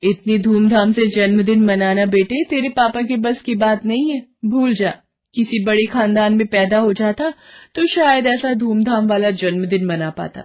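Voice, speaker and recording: A woman's voice: medium pitch, moderate pace, natural voice. A woman voicing a greedy, complaining young wife and mother in a cartoon story. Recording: narrow phone-like sound.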